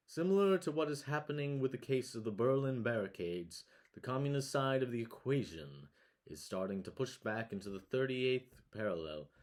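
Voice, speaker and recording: Low-voiced, solemn 1950s news anchorman, played by a man. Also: lightly acted. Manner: formal and solemn, halting over words. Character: earnest, grave 1950s newscast anchorman. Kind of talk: mock 1950 newscast, scripted with stumbles.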